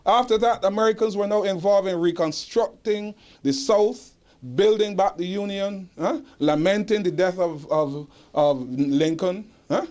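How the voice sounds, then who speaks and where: A man with a Barbadian accent giving a history lecture to an audience, emphatic and rhetorical, pausing to search for dates and tagging points with "huh?". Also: high-pitched, a little mellow. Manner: rhetorical, adds "huh?" tag questions. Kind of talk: lecture answering audience questions. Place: indoor lecture hall.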